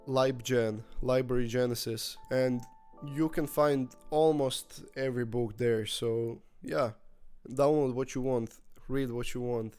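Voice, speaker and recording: A man talks straight to the camera in a relaxed vlog style, candid and joking, with the odd swear word. His voice sits at an ordinary middle pitch. Soft music plays faintly underneath him. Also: smooth. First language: Croatian. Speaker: book vlogger. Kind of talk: casual vlog monologue.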